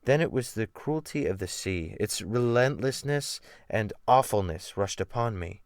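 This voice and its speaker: A man's voice: on the low side, lively intonation, measured pace, no room sound. A man giving a dramatic, theatrical reading of literary narration, with strong swells of emphasis, close to the microphone. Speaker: audiobook narrator. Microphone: close USB microphone.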